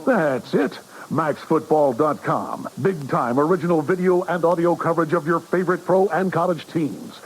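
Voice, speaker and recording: A man's voice: fairly high pitch, low-quality recording. An American man reading a scripted promotional radio commercial as announcer. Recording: hissy AM radio broadcast.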